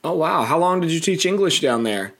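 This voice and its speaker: A man's voice: a little high, expressive, fairly quick, fairly bright tone. A middle-aged American man hosting an educational interview, chatty, with fillers and restarts. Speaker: podcast host.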